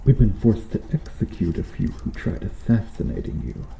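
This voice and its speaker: Man voicing a very dark, gravelly, menacing medieval knight. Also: on the low side, sing-song. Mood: quiet menace. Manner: deliberate, sardonic, quietly menacing. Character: bitter, menacing medieval knight guarding a captive noble. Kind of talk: slow, intimate ASMR roleplay acting. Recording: roomy echo with faint noise.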